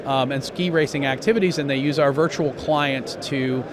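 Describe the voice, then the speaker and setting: A man giving an interview as a company executive, explaining products in a measured way with pauses mid-phrase. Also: mid-range voice, noisy background. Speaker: company president being interviewed.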